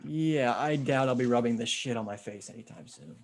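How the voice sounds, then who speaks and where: A man's voice: medium pitch, a little fast, gravelly, lightly acted. A man playing a determined, level-headed zombie survivor. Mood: wry. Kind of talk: table read of a comic book script. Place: remote video call table read.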